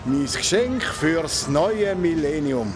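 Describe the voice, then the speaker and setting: A man's voice: on the high side, lively intonation, takes it slowly. A man playing a generous, hearty old father who sells chestnuts, in a Swiss German children's radio play. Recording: street sounds and faint music behind.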